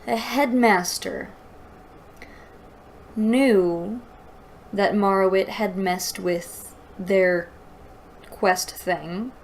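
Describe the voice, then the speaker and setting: Slow-paced woman, exasperated reviewer. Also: medium pitch, a little hiss or hum, low-quality recording. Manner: exasperated, incredulous. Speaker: host of a book review series. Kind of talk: reading aloud with mocking critical commentary.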